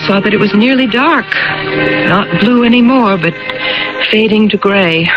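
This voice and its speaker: A woman's voice: mid-range voice, lightly acted. An actress playing a devoted, anxious middle-aged wife in a radio drama, earnest and urgent as she tells and lives her story. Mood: troubled narrating. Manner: earnest, urgent, repeating herself. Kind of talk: radio drama acting and first-person narration. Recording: muffled, noisy old radio broadcast.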